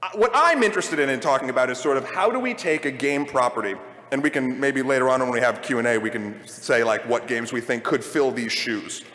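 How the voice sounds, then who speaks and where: A man's voice: medium pitch, fairly quick, bright, forceful tone. A man speaking conversationally on a live panel, rambling and opinionated as he thinks aloud. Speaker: panel host leading a discussion of video game movies. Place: large room at a convention. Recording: echoey hall, live panel recording.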